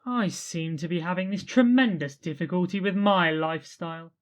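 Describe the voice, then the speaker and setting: A man's voice: sits high, moderate pace, natural voice. Man playing a plaintive, bewildered everyman. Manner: plaintive, earnest, apologetic. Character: bewildered, plaintive ordinary human man adrift in space. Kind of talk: amateur audio drama acting.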